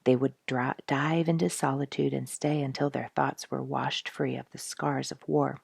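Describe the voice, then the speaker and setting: Low-voiced woman storyteller with a creaky edge. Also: level tone, moderate pace, darkish tone, dry recording, clean studio sound. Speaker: storyteller reading a book aloud. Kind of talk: expressive scripted audiobook narration.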